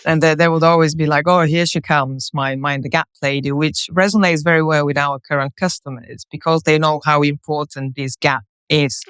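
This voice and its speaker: Clear-voiced woman with a Bulgarian accent. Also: low-pitched, fairly even intonation, moderate pace, hardly any pauses, fairly bright tone, no echo, clean studio sound. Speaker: podcast guest, company co-founder. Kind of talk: conversational interview storytelling.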